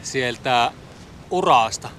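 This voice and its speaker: A man's voice: medium pitch, expressive, a bit bright. A middle-aged man from Finland casually narrating his sailing trip to an action camera, thinking aloud with plenty of fillers. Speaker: sailing vlogger. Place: outdoors on a sailboat cockpit under a canopy. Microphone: action camera mounted on the boat. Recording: wind and water noise behind.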